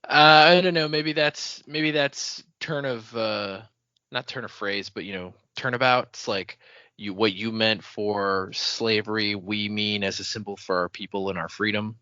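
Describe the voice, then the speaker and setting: A man's voice: fairly low pitch, a little slow, bright, forceful tone, no echo, dull audio. A man co-hosting a podcast with casual banter, opinionated and wry, often teasing. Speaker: podcast co-host.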